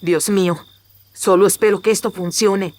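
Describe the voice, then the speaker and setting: A man's voice: fairly even intonation, normal speed, a little mellow. A man voicing an anxious but brave young noblewoman in a horror radio drama, earnest and melodramatic, through a voice filter. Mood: anxious, desperate. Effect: pitch-shifting voice filter. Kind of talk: Spanish-language horror radio drama acting.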